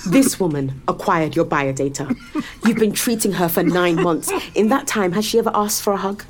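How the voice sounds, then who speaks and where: A woman's voice: lowish voice, moderate pace, slight background noise, natural voice. An actress playing a cold, menacing woman interrogator in a science fiction audio drama, controlled and taunting as she lays out her case. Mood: coolly explaining, accusing. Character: cold, menacing woman interrogator in a near-apocalypse sci-fi world.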